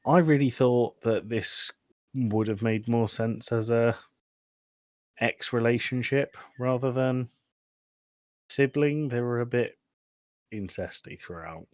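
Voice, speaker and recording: A man's voice: mid-range voice, slow pace, pauses often, darkish tone, dry recording. A man hosting a podcast, casually recapping a film with informal, sweary, dry humour. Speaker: podcast host.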